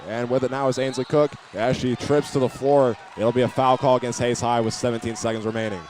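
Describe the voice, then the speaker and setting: A man's voice: medium pitch, level tone, a little fast, darkish tone. A man calling live basketball play-by-play from an indoor arena, occasionally stumbling over or repeating a word. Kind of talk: live sports commentary. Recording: noisy gym ambience behind voice.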